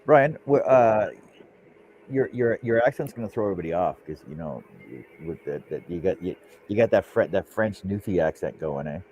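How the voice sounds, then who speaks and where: Low-voiced man who stumbles over words. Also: expressive, normal speed, a little mellow, muffled recording, a little hiss or hum. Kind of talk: casual chat.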